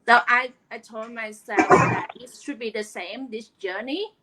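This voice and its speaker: A middle-aged woman from Vietnam with a Vietnamese accent, earnestly telling her story as an interview guest, often pausing on fillers. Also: fairly high pitch, very noisy. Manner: earnest, hesitant with many fillers. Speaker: guest, online business coach.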